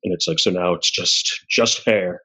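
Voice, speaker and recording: A man's voice: sits low, normal speed, bright, forceful tone, slightly rough. A man in his forties bantering casually in a self-deprecating, joking way as a podcast host. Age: 40s. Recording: dull, lo-fi audio.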